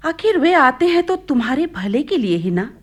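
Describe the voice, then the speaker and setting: A woman's voice: sits high, sing-song, moderate pace. A woman acting an anxious, devoted mother in a radio play, coaxing and pleading with worry. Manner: coaxing, imploring.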